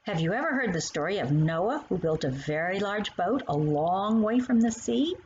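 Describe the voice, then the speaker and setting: A woman's voice: mid-range voice, animated, normal speed, darkish tone, lo-fi sound, slight background noise, lightly acted. A woman voicing a kindly, playful older woman who patiently explains things to a young child in a storybook. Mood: fond teasing.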